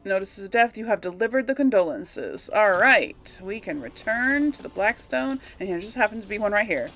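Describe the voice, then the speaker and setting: A woman's voice: medium pitch, big pitch swings, normal speed, a bit bright. A woman giving casual, rambling game commentary, absent-minded and thinking aloud as she plays. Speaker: gamer hosting a role-play playthrough series.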